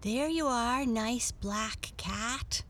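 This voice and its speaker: A woman's voice: fairly high pitch, very animated, slow pace, fairly bright tone, voice pushed up, full character voice. A woman voicing a small, eager girl who coos fondly at a cat. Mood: affectionate. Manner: cooing and affectionate. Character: sweet, eager little girl.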